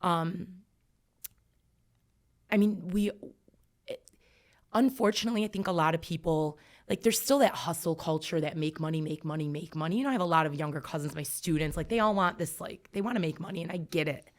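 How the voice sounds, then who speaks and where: A woman's voice: on the low side, brisk. A woman in her thirties, an educator guest on a podcast, talking reflectively and emphatically with many fillers. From United States. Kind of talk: earnest podcast conversation.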